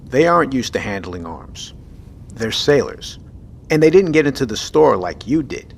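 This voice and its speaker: An actor playing a decisive, gruff navy submarine captain in an audio drama, with commanding, clipped, profane delivery. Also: male voice, mid-range voice, very animated, normal speed, a little hiss or hum. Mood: calm, firm. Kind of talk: scripted audio drama acting.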